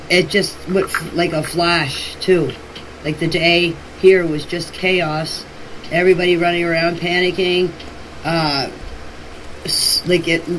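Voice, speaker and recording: A plain-spoken woman recounting events in a casual voice message, in halting and repetitive phrasing. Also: fairly low pitch. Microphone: phone voice message. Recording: noisy voice clip, steady background hiss.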